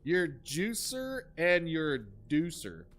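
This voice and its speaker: A man's voice: fairly high pitch, huge pitch swings, slow pace, fairly bright tone, a little hiss or hum. A man hosting a live game stream, commentating with hammy announcer-style bits and crude jokes.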